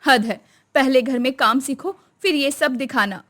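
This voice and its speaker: A woman's voice: sits high, a little slow, a bit bright. A woman voicing a traditional older mother-in-law, stern and scolding but tender underneath.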